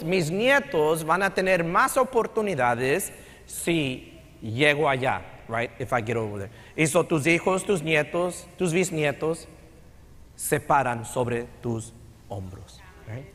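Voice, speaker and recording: A man's voice: fairly high pitch, animated, slow, fairly bright tone. A middle-aged man from Texas, a pastor preaching a conversational sermon with a Texan accent, mixing in English phrases. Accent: Texan Spanish with English code-switching. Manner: conversational preaching, switching between Spanish and English. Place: large church sanctuary stage. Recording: long hall reverb in sanctuary.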